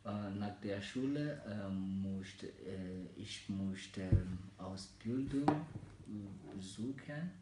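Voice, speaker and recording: A man in his twenties from Kenya, whose first languages are Mijikenda and Swahili, speaking careful learner's German with frequent pauses and fillers. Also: lowish voice, reverberant, heavy background noise. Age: 20s. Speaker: German learner in a practice interview. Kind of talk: slow, halting learner German with many fillers.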